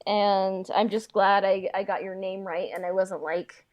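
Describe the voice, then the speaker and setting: A woman's voice: medium pitch, fairly even intonation, a little slow. A woman co-hosting a podcast in casual chat, speaking very informally and peppering her talk with "like". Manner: informal, frequent "like". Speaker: podcast co-host.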